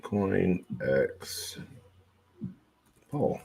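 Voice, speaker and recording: A man's voice: deep voice, extremely slow, creaky. A man hosting a casual livestream, talking loosely with fillers and the odd swear word. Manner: relaxed, swearing, plenty of fillers; hype announcer voice when introducing a guest. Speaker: livestream host and crypto content creator.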